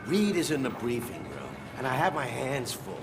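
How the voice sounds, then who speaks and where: A man's voice: mid-range voice, big pitch swings, moderate pace, vocal fry, noisy background. An actor playing a harried, overwhelmed policeman in a video game, with a frazzled manner.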